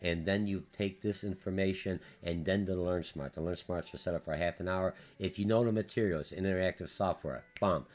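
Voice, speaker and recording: A man with a Polish accent, a college business instructor giving a hurried, energetic recorded class update full of fillers and restarts. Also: deep voice. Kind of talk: recorded course update lecture.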